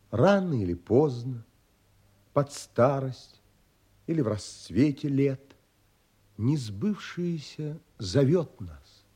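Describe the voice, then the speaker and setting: Dreamy romantic narrator in an audio drama, mellow dark voice, very expressive pitch, wistful and measured. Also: male voice, slightly rough, heavily acted. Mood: wistful, contemplative. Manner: measured, contemplative, expressive with deliberate pauses.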